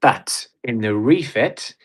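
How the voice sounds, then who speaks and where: A man's voice: mid-range voice, animated, slow, slightly rough. A Welsh man chatting as a podcast guest, reviewing a cruise in a blunt, opinionated and enthusiastic way. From Wales. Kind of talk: casual podcast chat.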